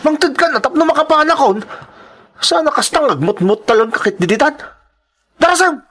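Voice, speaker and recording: A man's voice: very high-pitched, expressive, fairly quick, a bit bright. Guy playing nasty bully husband in a radio-style drama, sneering n demanding, voice jumps all over. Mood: angry, demanding. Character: abusive, gambling husband, bullying and hot-tempered. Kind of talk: Ilocano audio drama acting.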